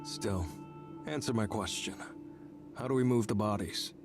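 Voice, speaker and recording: A man voicing a terse, blunt game character who speaks in short flat sentences. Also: fairly low pitch, a little slow. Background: game music.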